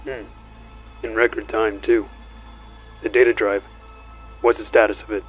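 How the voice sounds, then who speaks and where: An actor playing a calm, calculating, authoritative administrator in a sci-fi audio drama, speaking formally and deliberately. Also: male voice, on the low side, slightly rough, faint music behind. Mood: commanding, approving.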